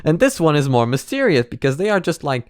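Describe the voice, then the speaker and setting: A man's voice: a little high, big pitch swings, fast talker, smooth. Man, very clean resonant voice, casual reaction commentary, emphatic explanations, casual swearing. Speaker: reaction video host and commentator.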